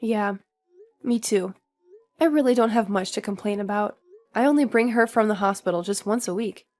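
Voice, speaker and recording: A woman playing a patient, tired but dutiful young newlywed wife in a scripted audio drama, speaking in a measured, polite conversational way. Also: medium pitch, a little mellow, close to an everyday voice. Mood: sympathetic.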